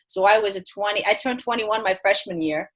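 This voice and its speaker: A woman's voice: medium pitch, fairly quick, a bit bright, poor audio. A middle-aged woman from Belgium who grew up speaking Dutch and French, a head tennis coach talking earnestly in an interview with frequent restarts. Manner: earnest, with many restarts and fillers. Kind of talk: remote interview.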